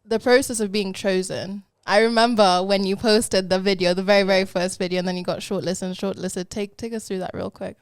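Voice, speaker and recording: A woman's voice: medium pitch, smooth, no room sound. Chatty female radio host, dry sound. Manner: lively, quick reactions, slangy. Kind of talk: interview chat.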